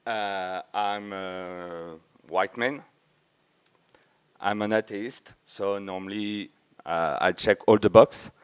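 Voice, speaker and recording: A man from France with a French accent, a native French speaker, giving an informal conference talk in halting English, often searching for words. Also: on the low side, fairly even intonation, smooth. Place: large auditorium with a few listeners, speaker at the front.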